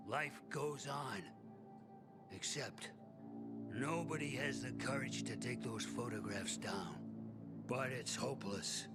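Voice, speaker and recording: Man acting as weary male survivor, video game drama, brooding and bitter, unhurried delivery, loud music underneath. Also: medium pitch, lightly acted. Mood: resigned, bitter. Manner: slow, brooding, bitter storytelling with pauses. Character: world-weary, resigned male survivor in a plague-walled city.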